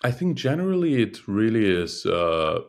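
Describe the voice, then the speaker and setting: A man who is a native Russian speaker, a lawyer guest speaking in a measured, thoughtful interview manner with frequent hesitations. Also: lowish voice, very animated, gravelly. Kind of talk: conversational interview.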